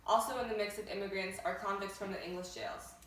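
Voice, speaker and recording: A woman's voice: medium pitch, steady tone, fast talker, a bit bright, close to an everyday voice. A formal female news anchor, acted by a teenage girl reading a script. The sound is very echoey and distant, with faint noise.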